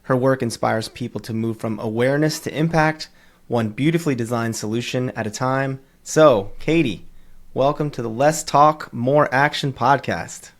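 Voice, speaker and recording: A man hosting a podcast, reading a guest introduction and then bantering in a relaxed, joking way. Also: mid-range voice, moderate pace. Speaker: podcast host.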